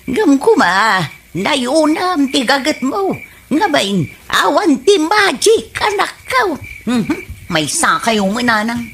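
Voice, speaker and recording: Woman doing older ilocano mom scolding gently, highish voice, very expressive, radio drama. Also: slow pace, a little hiss or hum, full character voice. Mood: admonishing. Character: older mother gently scolding and advising her married daughter. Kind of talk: radio comedy drama acting.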